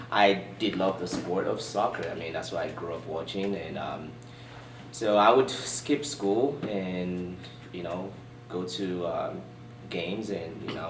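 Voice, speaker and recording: Low-voiced man with a mixed accent, roomy gym. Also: noisy background. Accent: mixed Nigerian and British. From born in Boston, raised in Nigeria. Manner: easygoing storyteller, many fillers and soft laughs. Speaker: gym owner, guest. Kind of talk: casual interview. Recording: roomy distant sound in a gym.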